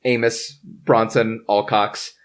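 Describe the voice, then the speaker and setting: A podcast host talking casually through history research in a halting, hesitant way. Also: mid-range voice, level tone. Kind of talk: casual history discussion among friends. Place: in person around a table.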